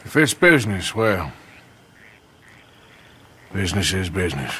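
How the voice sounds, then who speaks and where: Sardonic outlaw gunslinger over noisy ambience. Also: male voice, deep voice, big pitch swings, measured pace, fairly bright tone, exaggerated voice. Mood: reluctant. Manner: dry, sardonic, terse. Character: gruff, sardonic male outlaw gunslinger, loyal but doubting. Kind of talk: dramatic video game acting.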